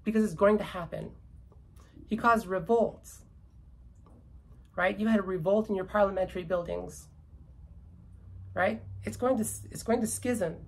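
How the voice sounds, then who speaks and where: A woman's voice: mid-range voice, a little slow, pauses often, slightly rough, rough recording. A Canadian woman with an accent she credits to British loyalist ancestry, talking politics in an emphatic, repetitive, ranting way. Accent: British-descended Canadian. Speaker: commentator. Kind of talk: casual political chat.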